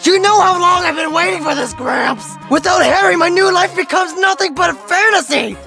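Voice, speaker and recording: A woman playing a cocky, commanding retired judge of the underworld in an animated drama, switching between solemn and brashly sarcastic. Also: extremely high pitch, moderate pace, vocal fry. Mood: angry, shouting. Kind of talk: dramatic animated-story voice acting. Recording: music behind the voice.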